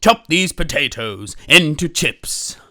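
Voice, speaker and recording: A woman voicing a fussy, hungry, commanding male king with slow, emphatic, bossy delivery in a children's storybook. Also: low-pitched, animated, sharp tone, exaggerated voice. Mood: bossy, eager.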